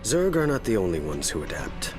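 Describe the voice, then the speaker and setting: A man's voice: low voice, wildly swooping pitch, fairly quick. A man playing a firm, formal young prince and military leader in a video game. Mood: firm, defiant. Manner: formal, stern. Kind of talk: video game cinematic acting. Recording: loud game music behind.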